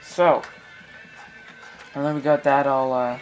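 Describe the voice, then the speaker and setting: A man's voice: mid-range voice, little pitch movement, soft background music, rough recording. Male hobbyist presenter, middle register, informal tutorial, thinking aloud at a workbench indoors. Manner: halting, thinking aloud while working. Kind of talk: casual hands-on tutorial. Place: small indoor room at a workbench.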